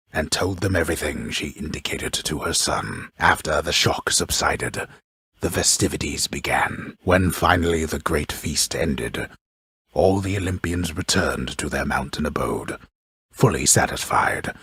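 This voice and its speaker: A grave, grandiose storyteller of the underworld for a video game. His voice is extremely low and heavily husky, with a gravelly edge. He narrates in measured, formal phrases with dry, wry mockery, recorded close with no room sound. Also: fairly bright tone, no echo, full character voice. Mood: solemn, stately. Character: grave, grandiose male storyteller of an underworld myth, drily mocking.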